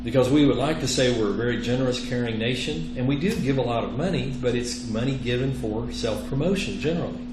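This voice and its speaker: A man with Italian as his first language lectures to students on faith. He speaks earnestly and emphatically, pausing to quiz them. His voice carries the echo of the classroom, with clear noise behind it. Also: mid-range voice. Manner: earnest, emphatic, quizzing listeners with rhetorical questions. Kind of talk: interactive religious lecture. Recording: roomy, echoey classroom with clear background noise.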